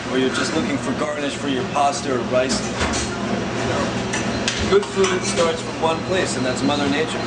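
A man in his thirties, an executive chef, giving a relaxed, chatty cooking demonstration with many fillers and restarts. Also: a little high. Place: large restaurant kitchen. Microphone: camera mic from a few feet away. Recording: echoey kitchen, distant camera mic, loud hum.

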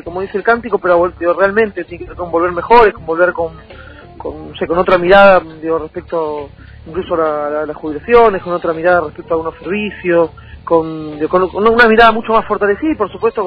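A man with a Rioplatense Argentine accent talking politics as a radio interview guest, emphatic and repetitive, with halting phrasing. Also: sits high. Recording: tinny phone-like sound over music.

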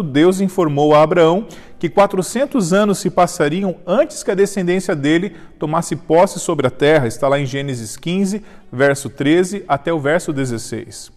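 A man in his thirties teaching a daily Bible lesson in an earnest, measured way, reading scripture slowly and closing in prayer. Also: on the high side, a little mellow, long reverb tail. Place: indoor studio set with armchair and flowers.

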